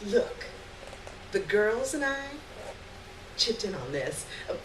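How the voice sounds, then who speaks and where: A woman's voice: mid-range voice, sing-song, slow pace. A woman voicing a breezy, persuasive popular teenage schoolgirl in a live story reading, casual and upbeat. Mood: upbeat, scheming. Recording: echoey, noisy live room, distant mic.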